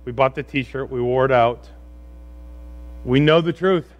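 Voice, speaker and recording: A man's voice: mid-range voice, a little slow, muffled recording. A middle-aged man preaching as a pastor, conversational and exhorting, putting rhetorical questions to his congregation. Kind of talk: sermon to a congregation. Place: church room, speaking from a stage. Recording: steady mains hum and noise.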